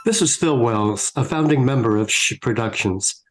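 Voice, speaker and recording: A man's voice: medium pitch, expressive, moderate pace, music in the background, rough recording. A man delivering a scripted holiday greeting as a radio show sign-off. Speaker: company founder giving sign-off.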